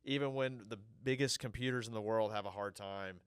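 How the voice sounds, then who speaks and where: A man co-hosting a casual podcast over a remote call, sounding nasal from a cold and easygoing, with drawn-out fillers. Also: fairly low pitch, clear, resonant tone, no room sound, studio quality. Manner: easygoing, drawn-out fillers, upbeat sign-offs. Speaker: podcast co-host. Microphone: virtual podcast, remote call.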